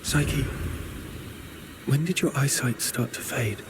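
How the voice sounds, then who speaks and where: An actor playing a calm, inquisitive young male investigator in a video game, pressing for answers. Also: medium pitch, takes it slowly, background noise. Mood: curious, pondering. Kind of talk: video game character dialogue.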